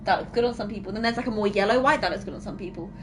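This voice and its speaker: A woman's voice: medium pitch, racing, noisy background. A woman chatting casually while she plays a game on stream, explaining things at length.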